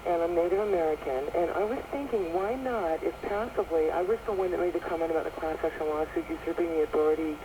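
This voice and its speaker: A man's voice: on the high side, fast talker, hardly any pauses. A man calling in to a radio show, eager and chatty, explaining at length. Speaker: caller. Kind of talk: call-in radio chat. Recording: caller heard over shortwave radio with heavy static.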